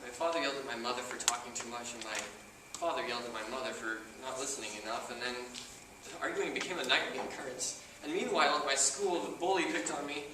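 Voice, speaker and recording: A man's voice: mid-range voice, fast, close to an everyday voice. A man acting an awkward, talkative loner in an amateur stage play, with wordy, stilted, overly precise phrasing. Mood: agitated. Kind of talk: amateur one-act stage comedy-drama acting. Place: theatre stage, recorded from a distance. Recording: echoey theatre, distant mic, loud noise.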